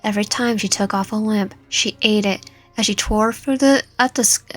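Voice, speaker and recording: A woman's voice: medium pitch, normal speed. A woman narrating a dramatic story read aloud, stumbling over and repeating some words as she reads. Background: background music.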